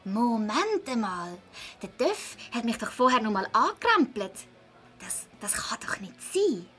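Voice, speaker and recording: A woman playing an eager, cheeky young girl detective in a children's audio drama, with a Swiss German accent and a lively delivery. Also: fairly high pitch, unhurried, faint music behind. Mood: puzzled.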